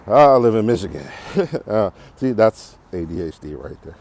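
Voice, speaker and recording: A man's voice: lowish voice, very animated, moderate pace, creaky, darkish tone. An American man giving a casual, unscripted podcast monologue, rambling and thinking aloud while out walking. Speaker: podcast host. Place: outdoors, walking on a path.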